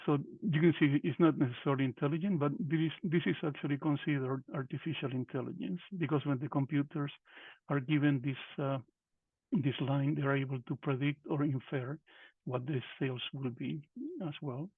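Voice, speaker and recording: A man's voice: a little high, steady tone, moderate pace, breathy edge, dry recording. A middle-aged man from Peru, presenting a workshop over a video call, hesitant, with many fillers and restarts. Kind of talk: presenting a webinar lecture. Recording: tinny phone-like call audio.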